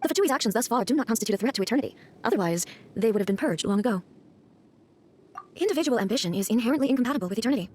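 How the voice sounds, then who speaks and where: An imperious, solemn goddess from a video game. Her voice sits on the high side and her formal, cold lines come at a rapid clip. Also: expressive, a little mellow, slightly rough. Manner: formal, commanding, measured phrasing. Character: imperious female thunder deity, cold, solemn, aloof. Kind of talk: video game cutscene acting.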